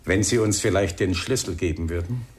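An actor in a German radio crime drama playing a calm, persistent police inspector, polite and matter-of-fact. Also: male voice, low-pitched, brisk, close to an everyday voice. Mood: polite, insistent.

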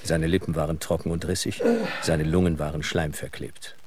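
A man narrating a dark thriller as the scripted storyteller of an audio drama. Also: rumbling low, little pitch movement, moderate pace. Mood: sober. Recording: clear background noise.

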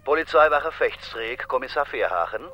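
An actor playing a dry, grudging police inspector in a German radio crime drama. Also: male voice, mid-range voice, animated, normal speed, highs cut off, faint music behind, lightly acted. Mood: dry, needling.

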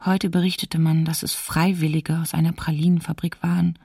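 An actress playing a diarist under occupation, reading entries in a hushed, subdued way for a documentary radio drama, slightly off the mic. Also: female voice, sits low, level tone, fairly quick, creaky, breathy edge, no room sound, studio quality, recorded from a distance, heavily acted. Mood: hushed, shaken. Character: woman diarist soberly witnessing occupation terror.

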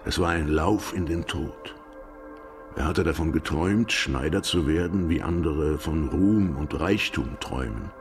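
Fatalistic radio-drama lover, bottomless gravelly voice, brooding, philosophical, deliberate. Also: male voice, rumbling low, music in the background. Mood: brooding, resigned. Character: fatalistic, world-weary philosophizing male lover resigned to death. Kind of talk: German radio drama acting.

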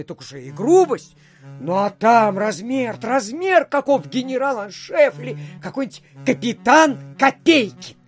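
An actor playing a chatty provincial official who spins a folksy, rambling tale in an audio play, full of filler phrases. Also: male voice, sits high. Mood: mock-grave, indignant. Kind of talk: theatrical storytelling in an audio play.

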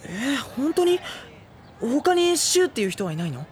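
An actor playing a gentle, worrying high-school boy in a Japanese audio drama, soft-spoken and polite. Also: very animated, normal speed, noisy background. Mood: puzzled. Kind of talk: Japanese audio drama acting with introspective narration.

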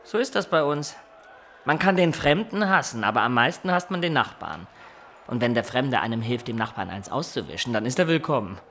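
Frank rustic youth, bright tone, colloquial, cheeky, radio drama, noisy ambience behind. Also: male voice, mid-range voice, animated, brisk. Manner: blunt, colloquial. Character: cheeky, frank young Italian peasant-born adopted son. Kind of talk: German radio play acting.

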